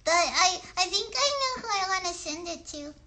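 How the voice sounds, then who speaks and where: A woman voicing an eager, friendly young girl puppet who chats in a halting, childlike way on a children's livestream show. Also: very high-pitched, lively intonation, bright, forceful tone, exaggerated voice. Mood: earnest. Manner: chatty, childlike, halting, greets viewers sweetly.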